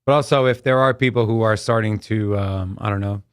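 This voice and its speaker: A man's voice: fairly low pitch, steady tone, a little fast, clean tone, dry recording, clean studio sound. A man co-hosting a live trading stream, talking in a blunt, easygoing way and swearing casually. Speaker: co-host of a crypto trading stream.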